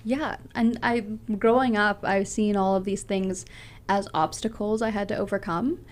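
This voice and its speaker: A woman's voice: medium pitch, fairly even intonation, moderate pace. A young woman from Canada, a public speaker answering interview questions in an earnest, passionate way with a big voice. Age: young adult.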